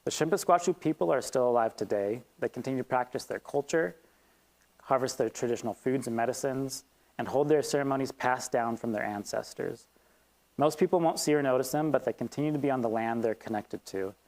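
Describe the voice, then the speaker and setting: A man's voice: mid-range voice, brisk, darkish tone, poor audio. A man hosting a community event, reading a prepared statement, then introducing the speaker with a few ums. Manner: reads steadily, then loosens with ums. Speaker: event host. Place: event hall with audience.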